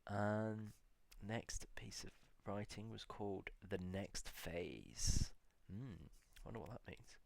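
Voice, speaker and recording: A man with a low, gravelly voice reflects aloud in a relaxed solo video. He reads out titles slowly and lingers on each one with hums and pauses. The recording is close and dry, with no room sound. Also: no echo. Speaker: video blogger.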